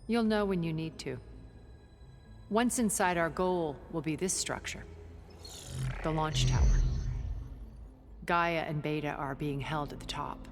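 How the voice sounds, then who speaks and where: A woman playing a secretive older strategist in a game cutscene, speaking in a measured, formal way. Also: deep voice, soft background music. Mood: cool, measured. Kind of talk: video game cutscene acting.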